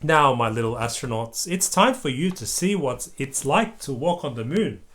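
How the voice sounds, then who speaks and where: Parent doing a hearty grandpa rabbit astronaut for a kids book, booming, encouraging, really lively. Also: normal speed, close to an everyday voice. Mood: instructive. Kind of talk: children's storybook read-aloud.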